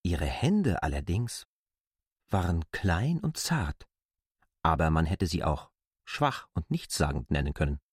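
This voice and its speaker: A man's voice: sits low, big pitch swings, measured pace, breathy edge, dry recording, clean studio sound. A man narrating literary fiction from a script in an audio drama. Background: music.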